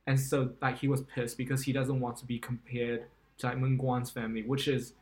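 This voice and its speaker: A young man from Taiwan speaks at a mid-range pitch as a podcast co-host. He rambles loosely through his points, stacking fillers and restarting sentences. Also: flat delivery, brisk, darkish tone. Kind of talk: casual chat.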